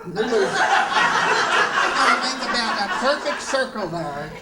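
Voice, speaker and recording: A folksy grandmother rambles through a homespun cooking pitch, pausing and drifting as she goes. The voice sits at a middling pitch, somewhat far from the mic, in an echoey theatre with loud noise. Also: very animated. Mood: cheerfully instructive. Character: folksy old grandma pitching sponsor baking products. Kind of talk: old-time variety show sketch, homespun cooking demonstration. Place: live theatre stage. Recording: echoey noisy theatre stage recording.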